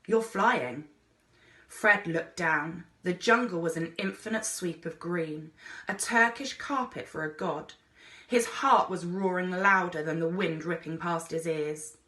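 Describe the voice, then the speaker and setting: A woman reading a children's adventure story aloud as an expressive narrator, like a teacher reading to a class. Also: fairly low pitch, measured pace. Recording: echoey room.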